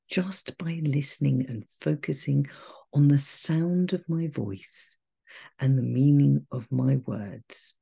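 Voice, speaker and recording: A woman guiding a meditation in a soft, breathy, soothing voice, gentle and measured with long pauses. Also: very deep voice. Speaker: meditation guide. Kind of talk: guided meditation, calm and soothing.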